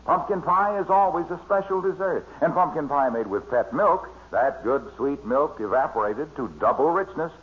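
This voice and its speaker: An actor playing a jovial American announcer friend in an old radio comedy, with a polished, enthusiastic sales delivery. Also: male voice, a little high, animated, moderate pace, few pauses, close to an everyday voice. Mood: gushing, salesy. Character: jovial, hearty pitchman friend who slips into sales talk. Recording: muffled old-time radio recording, faint noise.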